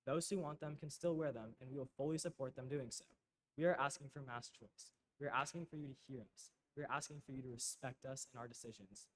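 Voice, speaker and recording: A teenage student, slightly hoarse from losing his voice, delivering a prepared public comment at a school board meeting with earnest, building emphasis. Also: mid-range voice, fairly even intonation, quick pace, low-quality recording. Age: teens.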